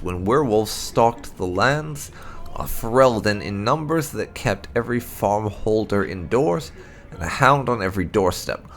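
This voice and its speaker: A man reading fantasy lore aloud as dramatic narration, in a deliberate storyteller's delivery. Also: lowish voice, vocal fry, a little hiss or hum. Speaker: gameplay channel host. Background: music.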